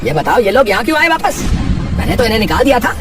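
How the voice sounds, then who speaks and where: Shrill, blustering mean landlord man acting. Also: sing-song, fast, over music. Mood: irritated, dismissive. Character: callous, blustering middle-aged father, mean landlord. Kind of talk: exaggerated cartoon villain dialogue.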